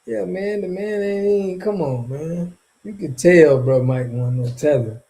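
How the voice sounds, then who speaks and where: Brief: male streamer, mocking slangy commentary, voice a touch high and mellow. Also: a little slow. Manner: animated, slangy, mocking, laughs often. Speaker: streamer reacting to viewer chat. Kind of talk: casual livestream commentary.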